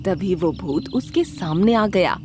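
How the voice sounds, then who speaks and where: Animated woman narrating a children's story. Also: lowish voice, unhurried. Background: background music.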